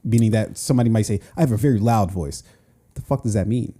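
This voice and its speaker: Dark-voiced man rambling on a podcast. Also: fairly low pitch, fast talker. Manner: rambling, profane, restarts often. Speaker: podcast co-host. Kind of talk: casual chat.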